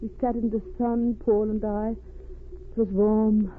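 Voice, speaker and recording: A woman's voice: medium pitch, level tone, close to an everyday voice. Woman acting distraught refugee wife, tinny radio. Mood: wistful, sorrowful. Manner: halting, with foreign word order. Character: distraught refugee wife haunted by a smuggler's crime. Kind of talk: old radio drama acting. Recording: tinny, noisy vintage radio recording.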